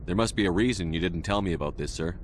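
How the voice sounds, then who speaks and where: Man playing a deep, brisk, blunt military commander. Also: a little hiss or hum, natural voice. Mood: matter-of-fact. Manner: terse, firm, matter-of-fact. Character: no-nonsense male military commander, disciplined and blunt. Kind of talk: video game cutscene acting.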